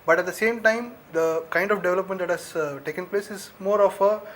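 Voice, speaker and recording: A man's voice: high voice, brisk, fairly bright tone, slight background noise. A man giving analysis on a live TV panel, hesitant and full of fillers.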